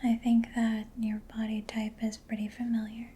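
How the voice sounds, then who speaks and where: A woman speaking in a breathy, mostly whispered voice, gentle, unhurried and reassuring, in a soft-spoken ASMR roleplay as a caring technician. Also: a little high, completely flat delivery.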